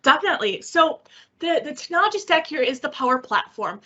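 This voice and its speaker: A woman giving a software demo in a conversational way, explaining things with examples and rhetorical questions over an online meeting. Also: high-pitched, sing-song, fairly quick. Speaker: technical specialist presenting a software demo. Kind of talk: webinar demo and question answering. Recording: dull, lo-fi online call audio.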